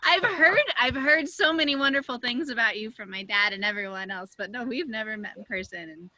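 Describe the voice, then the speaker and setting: A young woman with a strikingly bright, slightly high voice chats casually as an actress on a panel. The sound is close and dry, with little room in it. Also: a little fast, no room sound, rough recording. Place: indoors, online video seminar.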